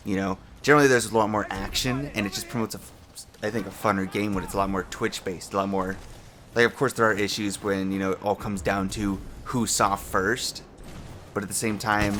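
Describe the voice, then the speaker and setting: Low-voiced young man ranting about a game. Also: noisy background. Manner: ranty, lots of fillers and restarts, swears. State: voice sounds a little off from just waking up. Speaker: gaming commentary host. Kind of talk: casual rambling commentary. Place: home room with a fan.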